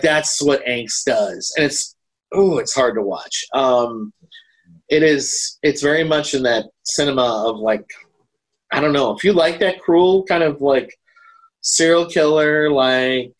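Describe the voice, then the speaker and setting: A man talking casually as a podcast co-host, haltingly, often repeating and correcting his words. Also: medium pitch, rough recording. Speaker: podcast co-host reviewing horror films. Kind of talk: casual film discussion.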